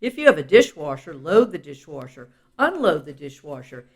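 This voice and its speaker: A woman's voice: deep voice, very animated, normal speed. A woman reading a children's advice book as scripted narration, speaking instructively and directly to the child listener. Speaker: audiobook reader.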